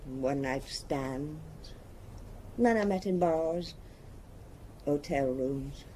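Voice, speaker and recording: A wealthy woman of forty who drinks hard and has killed. Her voice is soft-edged and dark, worn flat with bitter resignation. It is radio drama, with light ambient noise around her. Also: deep voice, slow pace. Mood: resigned, bitter.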